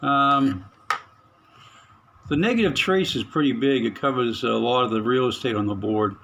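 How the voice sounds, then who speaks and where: A middle-aged man at a mid pitch talks casually through his hobby radio repair. He halts often, fills gaps and chuckles softly to himself. Faint room sound sits behind him in the small spare room. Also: slight background noise. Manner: halting, many fillers, chuckles to himself. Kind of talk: casual hands-on narration.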